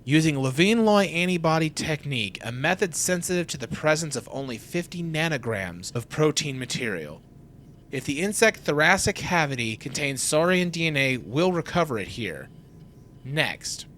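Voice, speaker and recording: A man's voice: on the high side, very animated, moderate pace, fairly bright tone, slight background noise. An actor playing a cool, proud geneticist in his thirties, lecturing in a precise, technical manner for an audio drama.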